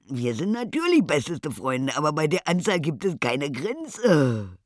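A man voicing a loud, jolly castle monster that booms and giggles. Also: huge pitch swings, measured pace, no echo, clean studio sound, full character voice. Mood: boisterous.

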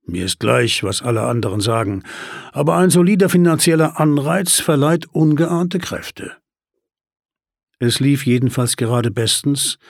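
A man narrating a first-person crime story as an audiobook, measured and tense with dry humor. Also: medium pitch, creaky, no room sound.

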